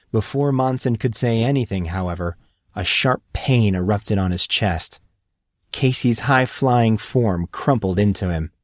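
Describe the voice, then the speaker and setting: A man's voice: on the low side, a little mellow, dry recording, clean studio sound. A man reading scripted fiction as an audiobook narrator.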